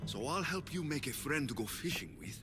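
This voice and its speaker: An actor playing a bold, wry mercenary warrior hero in a video game. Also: male voice, fairly high pitch, very animated, a little fast, music in the background. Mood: steady, direct. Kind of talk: video game character acting.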